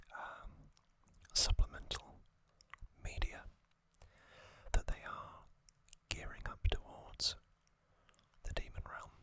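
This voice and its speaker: An ASMR host whispering casual trivia, hushed and unvoiced, musing with frequent ums.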